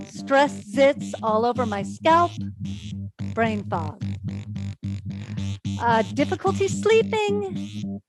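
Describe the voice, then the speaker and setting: An actress in a staged play reading, playing an earnest, anxious woman giving a presentation in a deliberate, emphatic manner. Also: high voice, full character voice, rough recording. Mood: urgent listing.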